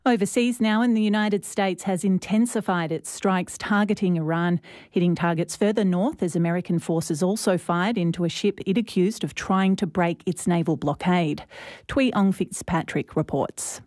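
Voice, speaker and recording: A woman's voice: fairly low pitch, moderate pace, a little mellow, no room sound, clean studio sound. A woman presenting a radio news bulletin, reading from a script.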